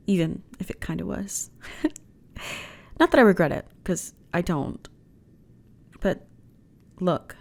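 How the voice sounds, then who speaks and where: A woman's voice: fairly low pitch, creaky. A woman playing a flirty, reassuring alien starship officer in an intimate ASMR roleplay, talking in a chatty, teasing way. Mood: wry, playful, then gentle, reassuring. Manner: teasing, chatty, conversational with sighs and soft laughs.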